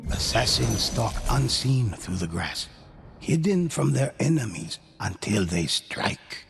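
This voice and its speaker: Slow, gravelly, solemn storyteller. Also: male voice, mid-range voice, big pitch swings, faint music behind, heavily acted. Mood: hushed, ominous. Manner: measured, hushed, dramatic delivery. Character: solemn male storyteller recounting samurai-era legends. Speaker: narrator. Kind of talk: scripted storytelling narration of folk legend.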